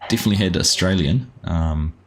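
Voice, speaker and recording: A New Zealand man with a very deep, husky voice hosts a relaxed gaming livestream. He rambles easily between the game and his chat, greeting viewers by name. His talk is loose and unhurried, with plenty of fillers. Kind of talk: casual live-stream chat while playing.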